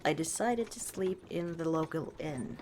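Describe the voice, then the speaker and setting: A woman playing a warm, teasing orc chieftain wife in an unhurried, dryly dismissive ASMR roleplay monologue. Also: low-pitched, noisy background. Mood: fond storytelling.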